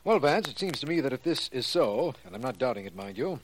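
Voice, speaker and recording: Earnest radio district attorney, faint noise. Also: male voice, fairly high pitch, very animated, brisk, vocal fry. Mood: earnest, impressed. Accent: American. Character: earnest, admiring district attorney, detective's loyal friend. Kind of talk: 1940s American radio drama acting. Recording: noisy old radio recording.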